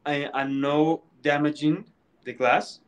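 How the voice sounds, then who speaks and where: A man co-hosts a live webinar over a video call. His voice sits a little high, and he talks haltingly, often repeating words as he goes. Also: steady tone, lo-fi sound. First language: Spanish. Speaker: webinar co-host. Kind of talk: casual live-stream chat.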